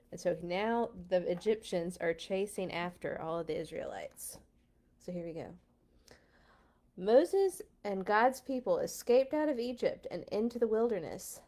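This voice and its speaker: Woman, children's storyteller, unhurried pace, mid pitch, playful, expressive reading, casual asides. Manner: playful, animated in telling. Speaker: children's storyteller at a church read-along.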